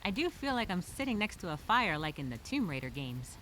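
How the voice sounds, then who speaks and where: A woman voicing a resilient, chatty female castaway telling a survival diary, expressive and talking to herself. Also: lowish voice, brisk, a little hiss or hum, poor audio. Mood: practical, musing. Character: stranded castaway woman journaling, resilient, chatty, wryly upbeat. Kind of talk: first-person diary storytelling, acted.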